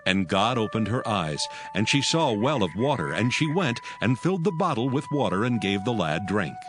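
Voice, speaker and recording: Guy narrating scripture, low steady voice, music playing under. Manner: even, measured. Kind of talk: dramatized scripture reading. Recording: music bed behind.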